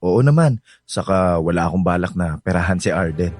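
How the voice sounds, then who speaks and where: A man's voice: low-pitched, animated, a little fast, darkish tone. A man acting a shy, polite young suitor in a Filipino radio drama, speaking hesitantly. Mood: polite, nervous.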